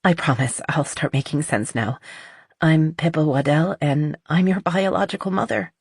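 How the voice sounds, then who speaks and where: An audiobook narrator voicing a nervous, earnest middle-aged woman confessing, with a halting, shaky delivery. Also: mid-range voice, creaky, husky, no echo, studio quality. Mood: nervous, tearful.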